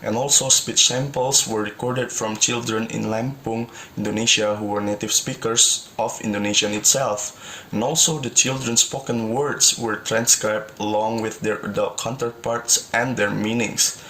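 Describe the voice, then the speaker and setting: A young man presents to his class, reading from notes and stringing points together with "and also". His voice is low-set but bright and forceful. A faint hiss sits under the recording. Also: steady tone, moderate pace, rough recording. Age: young adult. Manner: reads from notes, repeats "and also". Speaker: student presenter.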